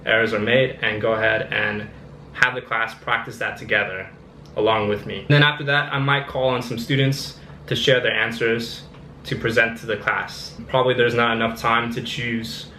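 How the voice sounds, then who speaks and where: Casting note: American male English teacher, mid-pitched, patient explainer, roomy recording with faint hum. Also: little pitch movement, normal speed, sharp tone. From United States, Missouri. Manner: explanatory, repeats "okay" and "so", acts out sample answers. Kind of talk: classroom lesson demonstration. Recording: echoey room with faint ambience.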